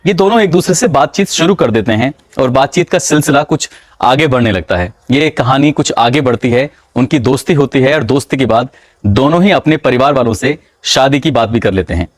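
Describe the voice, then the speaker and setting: A man narrating a true-crime story in a measured, dramatic storytelling manner. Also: mid-range voice, lively intonation, no echo, clean studio sound.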